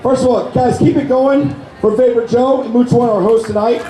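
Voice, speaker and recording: Hoarse guy roasting everyone, high voice, crude jokes, echoey hall, loud crowd. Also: fairly even intonation, moderate pace. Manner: brash, profane insult jokes. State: hoarse, lost his voice. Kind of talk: live roast comedy set. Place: convention stage before a crowd.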